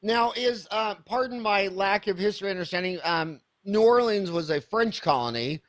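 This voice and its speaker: A man's voice: sits high, normal speed, a bit bright. A man in his fifties hosting an interview podcast, enthusiastic and rambling, with many restarts. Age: 50s. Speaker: podcast host. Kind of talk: casual interview.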